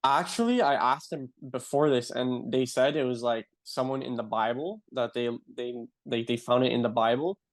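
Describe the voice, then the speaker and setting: A young adult man from Canada with a Canadian accent, a guest in a casual interview, talking in a relaxed way and saying "like" often. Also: mid-range voice, normal speed, few pauses. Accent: Canadian English. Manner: relaxed, filler-heavy, says "like" often.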